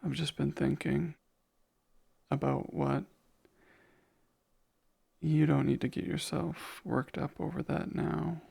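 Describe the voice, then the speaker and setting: A man playing a gentle, protective vampire caretaker in a soft ASMR roleplay, soothing and a little hesitant, with formal wording. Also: a little high, steady tone, slow, gravelly, long pauses, husky, heavily acted. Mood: apologetic, reassuring.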